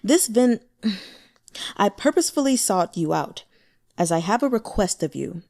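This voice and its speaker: A woman's voice: mid-range voice, a little slow, gravelly. A woman voicing a powerful male demon lord who speaks evenly and indifferently. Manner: even, indifferent.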